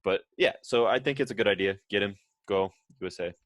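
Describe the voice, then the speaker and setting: Very deep-voiced man, dry close sound. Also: brisk, a bit bright, dry recording. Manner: blunt, joking one-liners. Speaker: podcast co-host. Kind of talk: casual football podcast chat.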